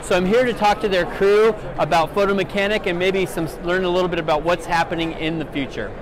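A middle-aged man hosting a photography video, introducing a trade show visit in a relaxed way and correcting himself as he goes. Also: sits high, fast, crowd noise. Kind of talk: casual vlog intro. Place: busy trade show floor indoors. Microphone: phone at arm's length. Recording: crowded trade show hall.

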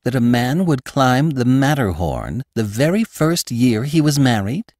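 A man voicing his curious, sharp-tongued wife in a read-aloud story. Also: mid-range voice, expressive, measured pace, no room sound, studio quality, voice pushed up. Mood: pointed.

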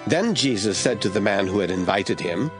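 A man narrating scripture from a script as the storyteller of an audio drama, with a husky voice. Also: lowish voice, expressive, normal speed, over music.

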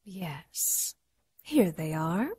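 A woman's voice: fairly low pitch, big pitch swings, very slow, very noisy, full character voice. A woman playing an imperious, seductive demon in a radio drama, haughty and menacing. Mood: hushed, cruel.

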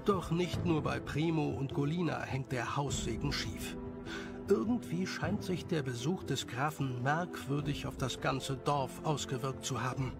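A man's voice: medium pitch, a little slow, vocal fry. A man reading scripted storybook narration as the narrator of an audio drama. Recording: loud music under the voice.

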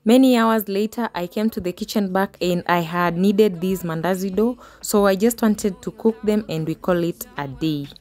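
A woman's voice: mid-range voice, normal speed, few pauses. A woman, casually narrating her home cleaning in a chatty voice-over. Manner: relaxed, chatty, small restarts. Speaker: homemaking vlogger.

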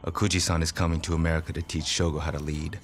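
Loyal japanese gang enforcer in a game, super low, formal and grave, soft music under. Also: male voice, little pitch movement, racing. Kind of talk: video game cutscene acting.

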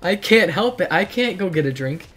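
A teenage boy, hoarse from hours of streaming, chatting casually and rambling while reacting to his chat in a dim bedroom. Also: high voice, animated, moderate pace, fairly bright tone.

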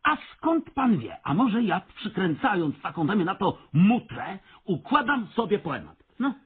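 An actor playing a stubborn, aggrieved railway worker in a comic radio play, stammering, repeating himself and combative. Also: male voice, high-pitched, big pitch swings, a little slow, breathy edge, full character voice. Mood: defensive. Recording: thin, phone-like radio play recording.

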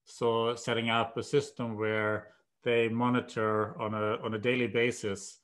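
A man in his sixties with a Swedish accent, a professor answering questions on a video call in a measured, hesitant way with many pauses and "uh"s. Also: medium pitch, level tone, fairly bright tone. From Sweden. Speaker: guest professor. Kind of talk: conversational interview. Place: home room.

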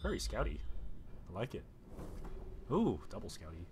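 A man's voice: lowish voice, huge pitch swings, normal speed, background noise. Chatty man narrating his gameplay. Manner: chatty, self-mocking, thinks aloud. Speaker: let's play host. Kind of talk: casual gameplay commentary. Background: game music.

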